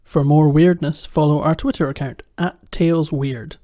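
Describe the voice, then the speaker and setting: Male podcast producer for closing credits, soft dark tone, slightly high pitch. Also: fairly even intonation, normal speed. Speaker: producer reading end credits.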